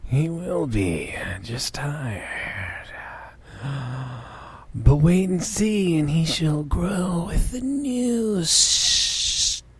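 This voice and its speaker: A man voicing a solemn, wise griffin with slow, drawn-out, breathy speech in a dramatic reading. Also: a little high, big pitch swings, full character voice. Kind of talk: dramatic fan fiction reading.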